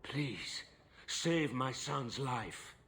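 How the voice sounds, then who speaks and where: A man's voice: medium pitch, lively intonation, very slow, a bit bright, exaggerated voice. A man playing an exasperated, anxious nobleman and father with a French accent in a video game. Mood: pleading. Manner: indignant, imploring. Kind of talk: video game cutscene acting.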